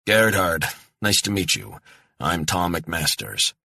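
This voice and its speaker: A man's voice: sits low, big pitch swings, takes it slowly, gravelly, pushed and bright, slightly rough, dry recording, clean studio sound, heavily acted. A man voicing a guarded American male operative with an American accent, talking tersely and laconically.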